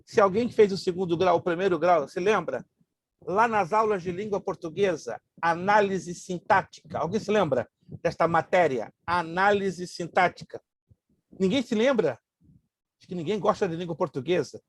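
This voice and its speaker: A male pastor with Hebrew as his first language leads a Bible class. His voice sits high and a little husky. He drills grammar terms slowly and mixes in joking asides. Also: dry recording.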